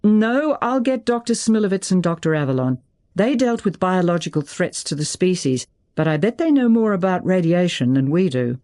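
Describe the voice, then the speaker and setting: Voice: mid-range voice, big pitch swings, normal speed, darkish tone, pitched above the performer's own voice, lightly acted. A narrator plays a brusque woman official in an audiobook thriller. She is decisive and urgent, firing questions as she takes charge of a crisis.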